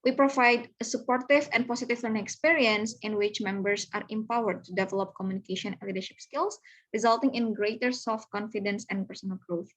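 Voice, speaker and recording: A woman's voice: medium pitch, brisk, rough recording. A woman, earnestly walking listeners through slides in an online club presentation over a video call. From Indonesia. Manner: earnest, welcoming, talks through slides step by step.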